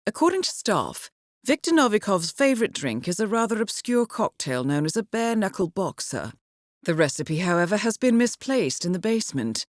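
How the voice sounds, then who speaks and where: An actress playing a composed, coolly wry intelligence handler in a video game, giving formal, measured, dryly understated mission briefings. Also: female voice, mid-range voice, very animated, no room sound, studio quality, close to an everyday voice.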